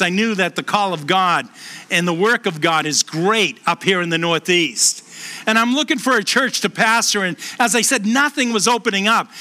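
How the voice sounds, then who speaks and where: A middle-aged man with a Boston accent, a pastor preaching a sermon and telling stories earnestly, slowing down for emphasis. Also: bright, forceful tone. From Boston, USA. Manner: earnest storytelling, slows and lowers voice for emphasis. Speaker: pastor and hospice chaplain.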